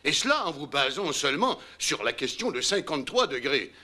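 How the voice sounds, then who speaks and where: A man's voice: on the high side, big pitch swings, brisk, pushed and bright, heavily acted. A man acting an impatient, combative space programme manager in a dubbed docudrama, arguing with sarcasm and pressing his point. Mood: exasperated. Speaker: meeting official challenging engineers.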